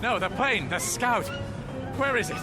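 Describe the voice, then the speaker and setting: An actor playing a desperate, defiant war pilot in a radio drama, pleading urgently. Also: male voice, high-pitched, expressive, a little slow, over loud music.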